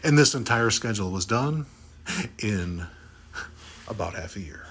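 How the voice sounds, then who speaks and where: Man, middle-aged, podcast host, upbeat, teasing, slightly low voice, desk mic in an office. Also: animated, unhurried, a bit bright, a little hiss or hum. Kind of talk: lively on-site podcast chat. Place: office meeting room.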